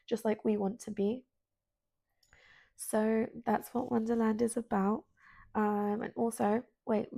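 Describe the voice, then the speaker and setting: A woman born in London with Spanish as her first language, hosting a solo spiritual podcast in a soft, hesitant, earnest way with many ums. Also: mid-range voice, creaky, dry recording. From Colombian, born in London. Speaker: podcast host. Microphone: self-filmed at home.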